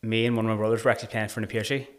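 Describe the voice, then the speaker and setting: A man's voice: on the low side, little pitch movement, rapid-fire. A man in his thirties with a Belfast accent, a podcast guest talking earnestly in casual conversation, often restarting his sentences. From Northern Ireland. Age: 30s. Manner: earnest, searching, frequent restarts. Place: small bright studio room. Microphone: desk mic on boom arm.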